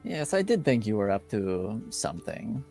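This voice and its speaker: A man playing a courteous, composed father in improvised tabletop roleplay, speaking in a measured, formal, deliberate way with dry restraint. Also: lowish voice, very animated, a little mellow, faint music behind.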